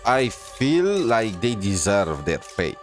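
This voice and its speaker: Man, game streamer, lower pitch, casual blunt commentary, rambling, soft background music. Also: sing-song, takes it slowly. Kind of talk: casual live reaction commentary.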